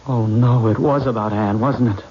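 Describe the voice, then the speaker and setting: A man's voice: lowish voice, fairly even intonation, normal speed, natural voice. An actor playing an insistent, lovesick and worried man in a 1970s radio drama. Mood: demanding, urgent. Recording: dull, noisy old radio broadcast.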